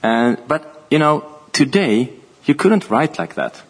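Man, husky, middle pitch, author on a panel, casual, halting, restarts a lot. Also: animated, low-quality recording. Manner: chatty, halting, laughs often. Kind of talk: panel conversation.

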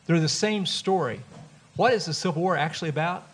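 A man's voice: fairly high pitch, brisk, fairly bright tone, breathy edge. A man with a Southern US accent, an author speaking earnestly on a panel at a live event. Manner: earnest, explanatory. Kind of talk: panel talk. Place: festival event room.

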